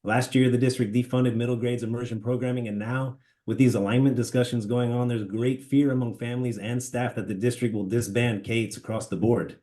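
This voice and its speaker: A man's voice: medium pitch, fairly even intonation, brisk, hardly any pauses, dark tone. A man who is a parent and school staff member, reading an earnest prepared public comment in an online meeting. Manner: earnest, read from a prepared statement.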